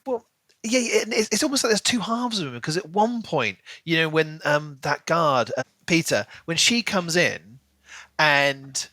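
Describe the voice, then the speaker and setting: A man's voice: fairly high pitch, expressive, normal speed, bright, forceful tone, no echo. A man co-hosting a podcast, chattily discussing a book and peppering his speech with "like" and "you know". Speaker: podcast co-host.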